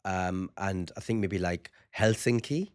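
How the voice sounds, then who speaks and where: A man's voice: sits low, a little slow, clear, resonant tone. Playful man hosting a comedy podcast. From England. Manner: playful, teasing, self-aggrandizing. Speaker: podcast host, stand-up comedian. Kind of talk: casual comedic chat.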